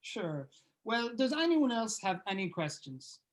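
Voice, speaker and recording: A man's voice: sits high, big pitch swings, unhurried. A man playing a self-important band frontman with a pompous way of talking. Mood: dry, brisk.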